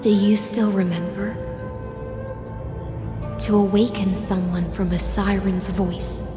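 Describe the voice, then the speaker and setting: A woman voicing a mythic game heroine, tender, mournful and resolute, speaking lyrically and deliberately in a poetic monologue. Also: mid-range voice, little pitch movement, lightly acted. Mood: wistful. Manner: slow, lyrical, deliberate delivery. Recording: echoey long reverb under loud music.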